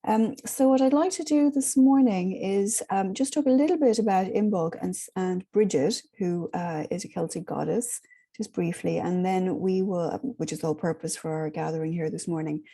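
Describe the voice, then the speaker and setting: A hoarse woman with a sore throat, gently leading an online spiritual group in a rambling, casual way with many pauses. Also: lowish voice. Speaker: spiritual workshop host.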